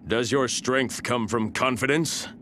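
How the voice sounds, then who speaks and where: An actor playing an earnest, humble warrior officer in a video game, speaking in a solemn, deferential manner. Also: male voice, medium pitch, steady tone, unhurried, fairly bright tone, a little hiss or hum, lightly acted. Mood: humble, admiring. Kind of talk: video game character voice lines.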